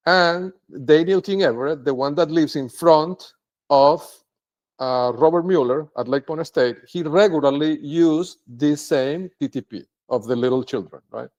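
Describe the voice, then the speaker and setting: A man's voice: on the high side, unhurried, darkish tone, dull audio. A man whose first language is Spanish, speaking English in an unscripted, rambling monologue to camera, with many restarts and sentences ending in "right?". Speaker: video blogger.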